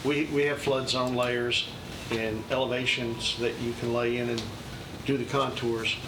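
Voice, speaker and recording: A man, a county official speaking plainly and a little haltingly at a committee meeting. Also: mid-range voice. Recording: roomy hall, distant mic, steady noise.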